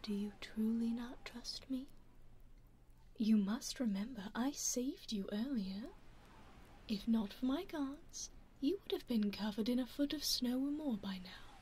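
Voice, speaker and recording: A woman's voice: a little high, unhurried, darkish tone, a little hiss or hum, lightly acted. A woman with a British accent voicing a genteel, coaxing female vampire in a whispered ASMR roleplay. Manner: whispered, coaxing, politely menacing.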